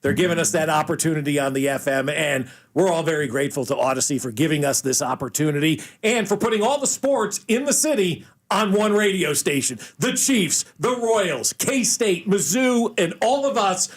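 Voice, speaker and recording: A man's voice: fairly high pitch, lively intonation, moderate pace. A man hosting casual sports radio talk, enthusiastic and emphatic. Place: radio studio.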